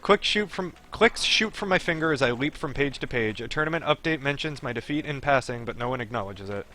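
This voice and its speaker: A man's voice: mid-range voice, expressive, a little fast, pushed and bright. A man streaming a game, reading story text aloud and breaking off into chatty, digressive commentary. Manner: chatty, digressive asides while reading narration. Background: game music.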